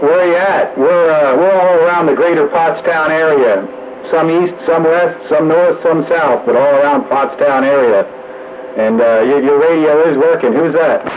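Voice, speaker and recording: Higher-pitched man on noisy radio. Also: normal speed. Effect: two-way radio transmission. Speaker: amateur two-way radio operator. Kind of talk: casual radio banter. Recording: muffled, noisy two-way radio audio.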